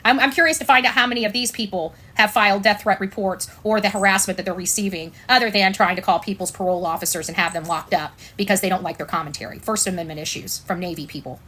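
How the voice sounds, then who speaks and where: An American woman with a medium-pitched voice hosts an informal commentary stream. She is blunt and opinionated, leaning on sarcasm and repeating her phrases to drive them home. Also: fast, hardly any pauses, sharp tone. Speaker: online commentator and show host.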